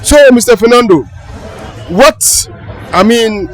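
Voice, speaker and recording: A man's voice: very high voice, lively intonation, takes it slowly, soft background music, a faint crowd. A man interviewing people outdoors at a funeral, talking loosely with lots of filler hesitations. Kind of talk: casual street interview. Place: outdoors at a crowded palace funeral.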